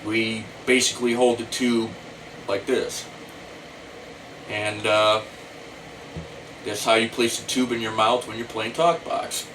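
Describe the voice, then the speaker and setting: A middle-aged American man gives an informal how-to talk at a slow, deliberate pace. He explains step by step and circles back over his points. The mic sits some distance away, with a faint hum of room noise behind him. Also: lowish voice, level tone, a bit bright. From United States. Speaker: talk box player giving tutorial. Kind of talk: informal instructional talk to camera.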